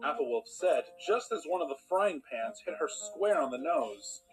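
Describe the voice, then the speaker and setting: A man's voice: on the high side, lively intonation, normal speed, faint music behind, poor audio. A man narrating a scripted fairy tale in storybook style.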